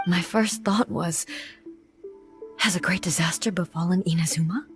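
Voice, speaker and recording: A woman voicing a composed, regal female deity ruler in a game, speaking in a measured, formal and dignified way. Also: fairly low pitch, a bit bright. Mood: solemn. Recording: game audio with faint music behind.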